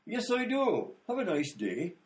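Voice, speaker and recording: Man voicing a dopey cop, high voice, rambling, easygoing, radio comedy. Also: huge pitch swings, measured pace, heavy background noise, exaggerated voice. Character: dim, overly literal male police officer, cheerful. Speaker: police officer. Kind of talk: comic radio play acting.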